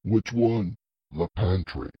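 Man doing a slow, husky, dopey sidekick. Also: deep voice, no room sound, muffled recording, voice pushed down, exaggerated voice. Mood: dim. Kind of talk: fairy tale audio drama acting.

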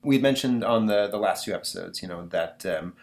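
A man's voice: lowish voice, a little fast, gravelly, darkish tone. A man hosting a podcast, chatting casually with lots of fillers and restarts. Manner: rambling, many fillers and restarts. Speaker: podcast host. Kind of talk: casual chat.

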